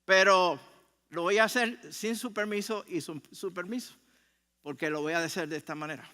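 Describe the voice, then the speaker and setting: A man with a Puerto Rican accent preaching as a pastor, telling stories in deliberate phrases with pauses, in a conversational way. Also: sits high, pushed and bright. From Puerto Rico. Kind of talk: sermon. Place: large room, speaking to a congregation. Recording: reverberant hall sound.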